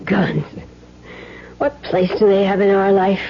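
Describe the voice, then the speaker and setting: A woman's voice: medium pitch, level tone, measured pace, close to an everyday voice. An actress playing a devoted, anxious housewife and mother in a 1970s radio drama. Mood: tender, troubled. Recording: dull lo-fi radio recording, faint noise.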